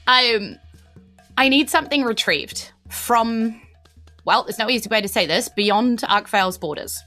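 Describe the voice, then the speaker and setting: A New Zealand woman playing an earnest, nervous but determined teenage empress in a tabletop game, speaking haltingly. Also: on the high side, bright, forceful tone, faint music behind, pitched above the performer's own voice. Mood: hesitant, urgent.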